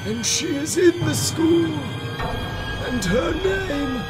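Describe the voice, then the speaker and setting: A man voicing a grave, angry old wizard headmaster with slow, emphatic speech in a comedic fan fiction reading. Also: extremely high pitch, expressive, over loud music, voice pushed up, full character voice. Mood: grave indignation.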